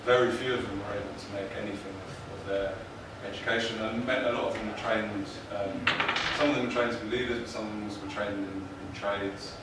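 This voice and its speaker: A man's voice: fairly low pitch, fairly even intonation, brisk, few pauses. A young man answering audience questions as an academic presenter, thinking aloud in a hesitant, hedging way. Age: young adult. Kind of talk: academic Q&A, unscripted. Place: indoor university lecture room. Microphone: far camera. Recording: distant, very echoey, noisy lecture room.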